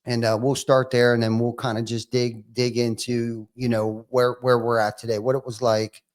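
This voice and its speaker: A man's voice: mid-range voice, little pitch movement, moderate pace. Loose-talking male podcast host. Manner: loose, repetitive, lots of "you know" and restarts. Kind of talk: casual interview hosting.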